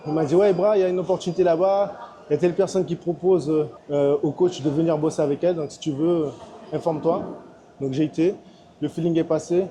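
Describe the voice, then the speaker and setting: A man's voice: on the high side, steady tone, fast talker, dark tone. A man in his thirties from French Guiana, a personal trainer chatting casually in a large gym, hesitant with many fillers. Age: 30s. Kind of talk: casual chat.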